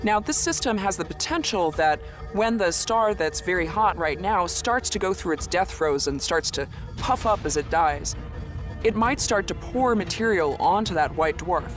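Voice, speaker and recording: A man's voice: high voice, animated, normal speed, fairly bright tone, faint music behind. A man explaining things conversationally as an expert in an interview.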